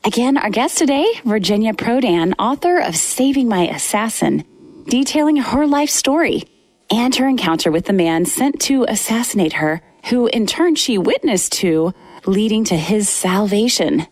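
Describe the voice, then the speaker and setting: A woman's voice: fairly high pitch, expressive, moderate pace, a little mellow, dry recording. A woman hosting an interview show, giving affirming responses and wrapping up the segment. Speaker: host.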